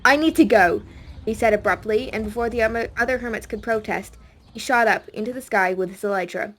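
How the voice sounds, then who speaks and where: A woman's voice: fairly high pitch, fairly even intonation, quick pace, a bit bright. A woman reading a scripted audiobook story as a dramatic narrator.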